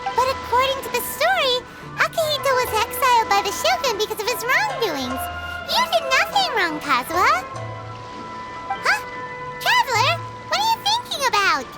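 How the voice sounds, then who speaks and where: A woman's voice: very high voice, sing-song, normal speed, poor audio. A woman voicing a tiny, talkative, childlike fairy companion in an anime game, perky and exclamatory. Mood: protesting. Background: music.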